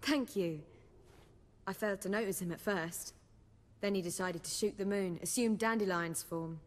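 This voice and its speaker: A woman's voice: mid-range voice, normal speed, lightly acted. Game role, woman acting: young female bard, medium register, elegant wry phrasing, long echo on the voice. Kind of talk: video game cutscene dialogue. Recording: long hall-like reverb.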